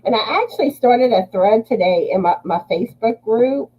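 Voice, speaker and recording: A woman's voice: a little high, animated, normal speed, mellow, husky, rough recording. A woman with a Southern US accent hosting a casual livestream chat, laughing often as she greets viewers by name. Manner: laughs often while reading chat names. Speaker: livestream host.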